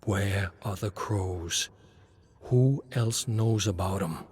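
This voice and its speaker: A man voicing a cold, menacing agent in a story, interrogating with controlled, deliberate threats. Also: fairly low pitch, darkish tone, breathy edge. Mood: quiet menace.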